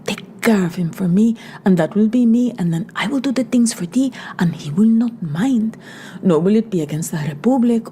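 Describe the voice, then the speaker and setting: A woman's voice: medium pitch, warm tone, slight background noise, pitched above the performer's own voice, lightly acted. A woman voicing a frightened young woman who pleads in a breathless, whispered way.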